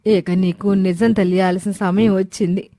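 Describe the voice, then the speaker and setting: A woman's voice: medium pitch, fairly even intonation, normal speed, dark tone, no echo, clean studio sound, lightly acted. A woman voicing a solemn, protective foster mother with an urgent manner in a moral story. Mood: grave, urgent. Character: grave, protective foster mother revealing the truth. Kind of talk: Telugu moral story character acting.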